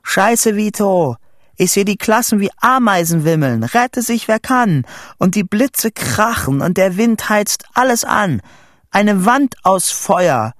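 Excited young boy, played by a male reader, dramatic audiobook dialogue, raised high, loud exclamations. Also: takes it slowly, no echo, clean studio sound, heavily acted. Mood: alarmed, shouting. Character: excited boy shouting a dramatic eyewitness report.

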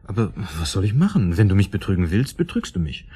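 A jealous, moralizing husband in his thirties in a radio drama. His voice sits low and sounds husky. He speaks earnestly and deliberately, as someone who broods over everything. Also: very animated, warm tone, heavily acted. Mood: suspicious, resigned. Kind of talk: German radio drama acting.

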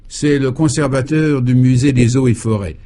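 A man's voice: medium pitch, normal speed, mellow, voice pushed up, close to an everyday voice. A man voicing a talkative, worldly male friend and guide in a comic radio serial, emphatic and mock-formal. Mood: enthusiastic.

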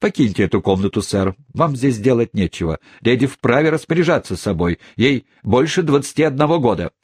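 A man's voice: mid-range voice, expressive, fairly quick, a little mellow, breathy edge, no echo, clean studio sound, pitched above the performer's own voice. A man reading aloud and voicing a brash, curt male adventurer who speaks in clipped phrases.

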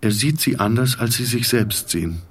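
A man's voice: mid-range voice, animated, normal speed, slightly rough, distant mic. An actor playing a weary, detached middle-aged male patient, narrating his inner thoughts in a reflective, sardonic literary monologue. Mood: detached, musing.

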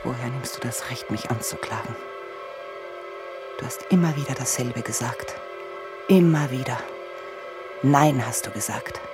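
An actress in a German radio play voicing a bitter, defensive grown daughter who accuses and anguishes. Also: female voice, rumbling low, unhurried, pauses often. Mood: bitter, accusing. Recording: music behind the voice. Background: music.

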